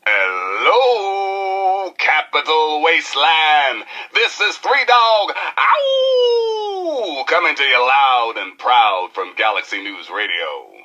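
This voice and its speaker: An actor playing a cocky, flamboyant post-apocalyptic rebel radio DJ with an American accent and showy, slangy delivery in a video game. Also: male voice, on the high side, sing-song, bright, forceful tone, dull audio, exaggerated voice. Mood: exuberant, showy. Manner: showy, slangy, drawn-out emphasis with howls. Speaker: radio DJ and newscaster. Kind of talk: theatrical radio DJ patter.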